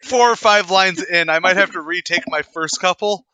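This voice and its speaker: A man's voice: high-pitched, moderate pace, bright, forceful tone. A man chatting casually as he directs and performs in a table read. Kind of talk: casual chat.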